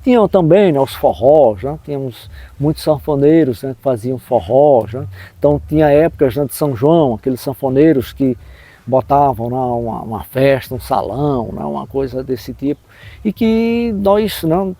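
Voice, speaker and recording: A man's voice: mid-range voice, expressive, moderate pace, warm tone, dry recording. A man in his sixties with a northeastern Brazilian accent, reminiscing in an explanatory way in an interview, often adding tag questions. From backlands of northeastern Brazil. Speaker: storyteller recalling local life, professor.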